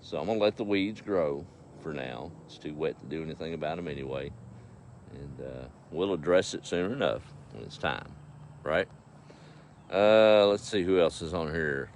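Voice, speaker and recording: A man's voice: a bass voice, lively intonation, moderate pace. A middle-aged man with an East Texas accent chatting casually on a farm livestream, folksy and easygoing, talking to his animals and viewers. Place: outdoors on a farm. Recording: faint outdoor background noise.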